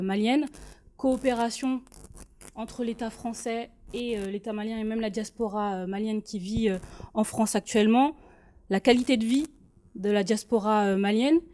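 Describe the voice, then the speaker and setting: A woman interviewer speaks in a slightly high, mellow voice. She questions formally and politely, feeling her way through her phrasing as she sums up and asks. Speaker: debate interviewer.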